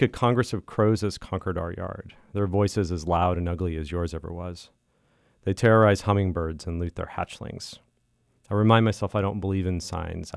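A man reading his own personal literary essay aloud in a measured, deliberate way. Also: sits low, creaky, dry recording, clean studio sound. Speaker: listener contributor reading own writing.

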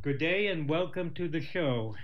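Measured male radio host. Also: fairly high pitch, lively intonation, dull audio, faint music behind. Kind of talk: radio interview hosting.

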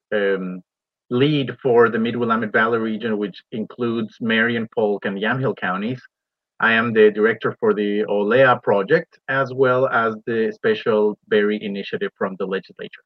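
A man from Ecuador, a university professor, explaining his work as a radio interview guest in a halting, pause-filled way. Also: lowish voice, steady tone, dry recording, lo-fi sound.

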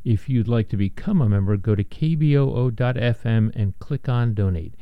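A man reading station announcements and the program lineup in a brisk announcer manner. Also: on the low side, dry recording. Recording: dry, dull studio sound.

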